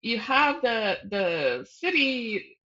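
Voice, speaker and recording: A woman's voice: fairly high pitch, sing-song, very slow, pushed and bright. Woman chatting casually, slightly dull audio. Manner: informal, self-interrupting, thinking aloud. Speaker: guest novelist. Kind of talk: casual podcast interview.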